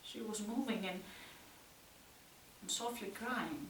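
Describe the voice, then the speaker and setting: A woman acting a tense, troubled youth haunted by violent parents in a halting, intense stage monologue. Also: medium pitch. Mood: hushed sorrow. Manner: slow, halting, intense, from hushed to loud. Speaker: actress performing a solo monologue. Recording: roomy, distant mic, faint noise.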